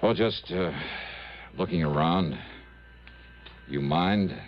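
An actor playing a dogged, suspicious American investigator in an old radio drama, with clipped, insistent delivery and musing hesitations. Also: male voice, low voice, slow pace. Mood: coolly pressing. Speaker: lead actor and narrator of a detective radio drama. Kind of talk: 1950s-60s American radio drama acting. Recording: dull vintage radio recording with music.

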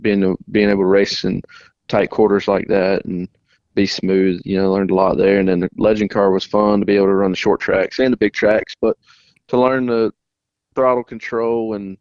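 Low-voiced young man. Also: no room sound, highs cut off. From North Carolina. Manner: relaxed, halting, many restarts. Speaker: race car driver guest. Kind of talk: casual interview answers.

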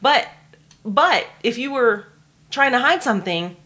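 A woman's voice: on the high side, lively intonation, unhurried, bright, forceful tone. A woman co-hosting a podcast, telling history in an informal, conversational way and explaining it step by step. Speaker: podcast co-host narrating history. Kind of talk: conversational true history storytelling.

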